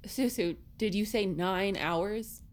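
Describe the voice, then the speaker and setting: A woman playing a blunt, exasperated high school girl with a sardonic manner in an audio drama. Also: slow, lightly acted. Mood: disbelieving.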